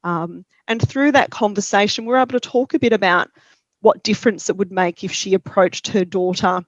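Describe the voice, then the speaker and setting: A woman with an Australian accent, a parent helpline counsellor speaking calmly and reflectively in a webinar discussion. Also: mid-range voice, moderate pace, dry recording, dull audio.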